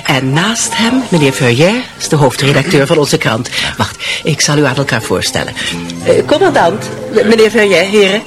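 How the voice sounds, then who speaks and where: An actor in a radio drama playing a chatty, socially ambitious provincial hostess with an effusive, condescending manner. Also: male voice, a little high, sing-song, moderate pace, over music. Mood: gushing, proud.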